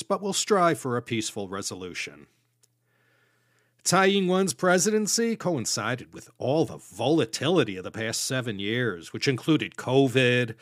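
American guy narrating his history podcast, measured, dry little asides, dead dry room. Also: medium pitch, a bit bright, dry recording. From United States. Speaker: podcast host.

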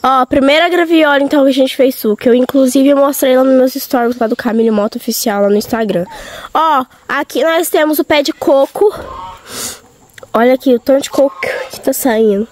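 A young woman, hoarse from a cold, chatting casually and directly to her viewers while walking around a farm outdoors. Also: high-pitched, a little fast. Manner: chatty, talking straight to viewers. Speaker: vlogger. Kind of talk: casual vlog chat.

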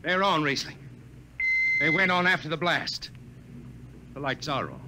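Blunt, higher-voiced spaceship crewman. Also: expressive, unhurried. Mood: tense. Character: blunt, disgusted male spaceship crewman, loyal. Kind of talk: 1950s radio drama acting. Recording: noisy 1950s radio broadcast.

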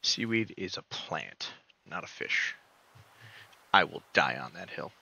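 A young man giving relaxed, joking commentary while playing a game, with a soft, soothing voice and mumbled asides. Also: sits low, slow pace, gravelly, husky. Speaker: gaming streamer. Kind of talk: casual gameplay commentary.

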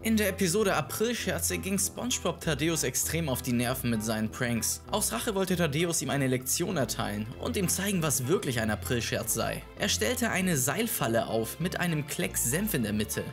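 Male YouTube narrator, 20s, higher pitch, scripted but casual, music underneath. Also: big pitch swings, moderate pace, hardly any pauses. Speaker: YouTube host. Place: small room at home. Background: background music.

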